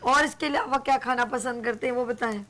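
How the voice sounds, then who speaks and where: A high-pitched woman with a Pakistani Urdu accent hosts a live morning show. She talks in a playful, teasing, chatty way, and her throat sounds a little sore. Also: brisk, pushed and bright. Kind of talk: casual live TV chat.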